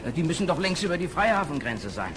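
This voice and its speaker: A man's voice: fairly high pitch, very animated, fast talker, background noise. An actor playing a sceptical, stern policeman in a radio play, clipped and matter-of-fact. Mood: doubtful.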